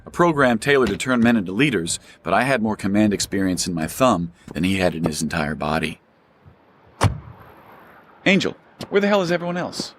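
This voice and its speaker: An actor playing a haunted, hard-bitten army sergeant who survives a zombie apocalypse, in an audio drama. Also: male voice, fairly low pitch, sing-song, a little fast, slight background noise. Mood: scornful, then urgent, agitated. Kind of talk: audio drama narration and dialogue. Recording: loud music and car sounds behind.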